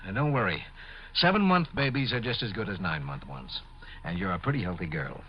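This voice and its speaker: An American actor playing a worried, dutiful military doctor in a 1950s radio drama. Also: male voice, low-pitched, lively intonation, fairly quick, a little hiss or hum. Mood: reassuring. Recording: muffled vintage 1950s radio recording.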